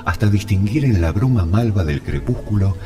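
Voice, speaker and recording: A man narrating a literary story in a dramatic, measured and deliberate way. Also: on the low side, expressive. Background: music.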